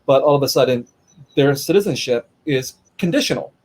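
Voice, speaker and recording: A man's voice: medium pitch, lively intonation, fairly quick. A man moderating a livestreamed author conversation by video call, talking thoughtfully with frequent restarts and repeated words.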